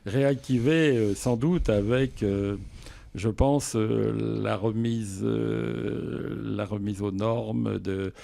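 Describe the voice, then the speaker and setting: A man speaking as an elected official in an interview, explaining in a halting, measured manner. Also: on the low side, fairly even intonation, vocal fry, dark tone.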